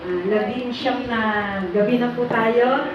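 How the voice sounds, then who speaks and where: A woman's voice: fairly high pitch, slow pace, lo-fi sound, noisy background. Echoey, distant Filipino woman leading worship. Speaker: church worship leader. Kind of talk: devotional exhortation to a congregation. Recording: echoey, distant, loud music behind.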